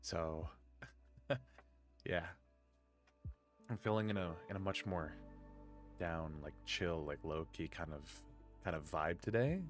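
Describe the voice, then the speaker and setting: A man hosting a live stream in casual chat, groggy from just waking up and rambling in a low-key way. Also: takes it slowly, pauses often, soft background music. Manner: rambling and low-key, with many filler words. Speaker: streamer and podcast host.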